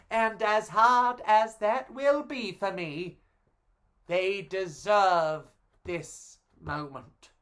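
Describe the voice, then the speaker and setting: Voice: mid-range voice, fairly bright tone, voice pushed up. A self-important young fairy-tale starlet, as a children's storyteller plays the part. This storybook girl gushes and boasts, making every sentence a grand speech.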